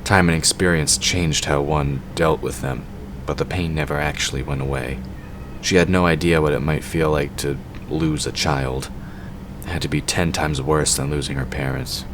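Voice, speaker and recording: Male narrator, extremely low pitch, scripted storytelling, clear background noise. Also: steady tone, normal speed. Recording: clearly noisy background ambience.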